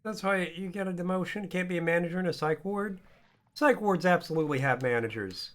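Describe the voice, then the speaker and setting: A hoarse man streaming a video game, joking and rambling casually. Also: high voice, brisk, fairly bright tone. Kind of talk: casual gaming stream chat.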